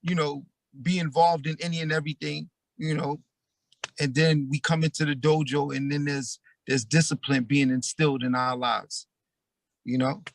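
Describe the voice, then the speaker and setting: A man's voice: fairly high pitch, level tone, normal speed, vocal fry, no room sound. A man who is a former student, speaking casually and often answering "yes, sir" and "that's right". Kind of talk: casual group chat.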